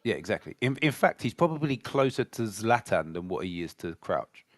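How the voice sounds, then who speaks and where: Deep voiced guy hosting a footy podcast, blunt, sweary, close dry sound. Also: sing-song, normal speed, no echo. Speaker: football podcast host. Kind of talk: casual football chat.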